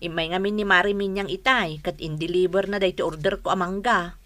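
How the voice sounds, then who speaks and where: A woman plays a plain-spoken, easily aggrieved Filipino housewife in a comedy radio play. Her voice is sharp and forceful, sitting at a middle pitch. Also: steady tone, brisk, lightly acted. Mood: doubtful.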